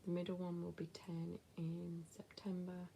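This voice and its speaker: A woman's voice: on the low side, monotone, moderate pace, creaky, dark tone, a little hiss or hum. A woman in her thirties with a West Midlands accent, chatting casually to camera as she answers viewer questions, blunt and with whispered asides. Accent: West Midlands English. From England.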